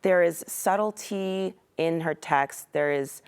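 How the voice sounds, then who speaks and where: A young woman speaks as a guest on a TV studio couch, her voice sitting a little low. She talks thoughtfully and reflectively, filling pauses with "um" and "like". Her voice comes through a clip-on mic. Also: clean tone. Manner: thoughtful, uses fillers like um and like. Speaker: actor guest. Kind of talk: casual interview chat.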